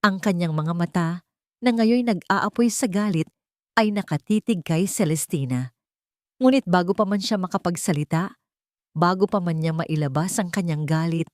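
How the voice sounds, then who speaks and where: Low-voiced woman narrating romantic drama. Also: lively intonation, moderate pace, no room sound, clean studio sound. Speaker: story narrator.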